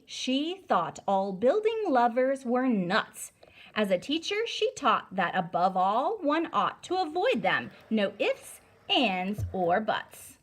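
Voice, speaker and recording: A woman reading a storybook aloud to children as a teacher, deliberate, emphatic and instructive. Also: a little high, a bit bright.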